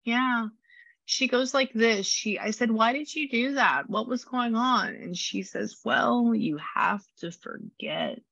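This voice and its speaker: A woman with a low voice and a slight rasp, chatting through a spirit reading and passing on messages. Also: takes it slowly, fairly bright tone, no echo, dull audio. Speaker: psychic medium.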